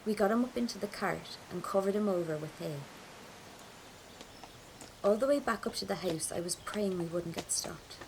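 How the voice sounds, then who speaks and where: A woman's voice: medium pitch, normal speed, vocal fry, pauses often, darkish tone, a little hiss or hum, close to an everyday voice, low-quality recording. An actress playing a grieving, stubborn Irish woman from a 1920s rebel family, telling her story plainly and with restraint, in an Irish accent. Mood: restrained grief. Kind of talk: first-person audio drama monologue.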